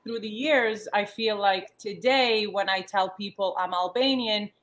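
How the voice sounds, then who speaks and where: A woman's voice: mid-range voice, unhurried, fairly bright tone. A woman in her thirties, born in the States and raised in Yugoslavia, speaking earnestly as a panel guest from her living room over a video call, with many restarts and fillers. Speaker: panel guest, former model. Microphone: laptop webcam on a video call.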